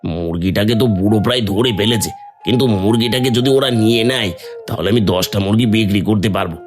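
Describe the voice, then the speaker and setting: A man's voice: lowish voice, quick pace, faint music behind, close to an everyday voice. A man voicing an earnest, kind villager. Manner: earnest, thoughtful, speaking his thoughts aloud. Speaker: voice actor. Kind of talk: Bengali cartoon voice acting.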